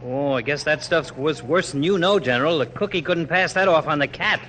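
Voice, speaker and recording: An actor playing an eager, obliging army servant with folksy, deferential manners in classic radio drama. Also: male voice, fairly high pitch, a little fast, a bit bright, natural voice. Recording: echoing, noisy old radio recording.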